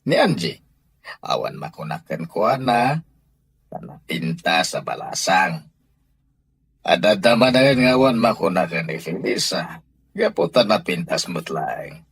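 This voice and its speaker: A man acts out a middle-aged father in an Ilocano radio drama, low and husky. His delivery is firm and reasoning, with lively swings in pitch. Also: measured pace, long pauses, no room sound, studio quality, heavily acted.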